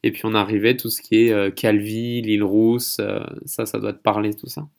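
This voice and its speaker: A man's voice: lowish voice, little pitch movement, normal speed, creaky, darkish tone. A man hosting a casual conversational interview, speaking in a rambling, hesitant way. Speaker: podcast host interviewing a guest.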